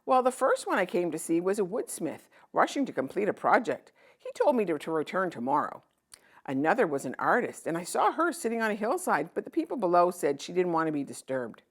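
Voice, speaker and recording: Woman voicing a kindly traveling king, animated intonation. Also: lowish voice, brisk, husky, pitched above the performer's own voice. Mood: matter-of-fact. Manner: gentle, fatherly, reflective. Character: kind, weary traveling king disguised as a merchant. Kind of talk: children's storybook read-aloud.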